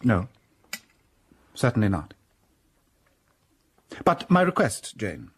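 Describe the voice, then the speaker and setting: A man playing a stern, cold young clergyman in a radio drama, speaking in a formal, measured, reserved way. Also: fairly low pitch, very animated, slightly rough, full character voice.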